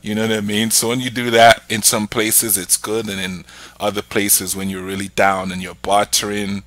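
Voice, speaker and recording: A man's voice: on the low side, moderate pace, dry recording, poor audio. A man with a London English accent hosting a casual podcast chat, telling stories animatedly. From England. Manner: switches accents playfully, animated storyteller. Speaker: podcast host.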